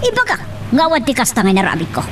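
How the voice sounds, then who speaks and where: A woman's voice: fairly high pitch, wildly swooping pitch, fast talker, far from the mic, noisy background. A woman playing a scheming, commanding wealthy mother in a radio-style drama. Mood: boastful.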